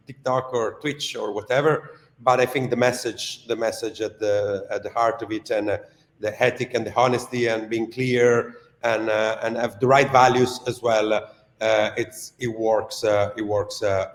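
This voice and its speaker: Hesitant male interviewer, echoey call. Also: medium pitch. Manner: hesitant, many "uh" fillers and restarts. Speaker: interviewer for a craft school. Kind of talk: interview questions and comments.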